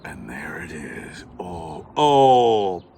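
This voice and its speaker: A man's voice: low voice, sing-song, drawn-out words, vocal fry, dark tone, a little hiss or hum. A man hosting a playful unboxing vlog, talking like a theatrical showman with mock grand announcements. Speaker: host, pipe unboxing.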